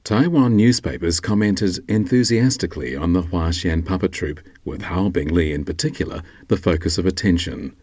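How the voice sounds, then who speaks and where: Low-pitched male documentary narrator. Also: normal speed, hardly any pauses, a little mellow, no room sound, studio quality. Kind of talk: scripted narration.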